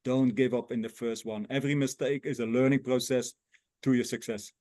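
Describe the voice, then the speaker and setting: Earnest, halting man in his sixties. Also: medium pitch, steady tone, dark tone, no echo, studio quality. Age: 60s. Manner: earnest, halting non-native phrasing with many restarts. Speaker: guest, lifestyle coach. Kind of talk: casual interview.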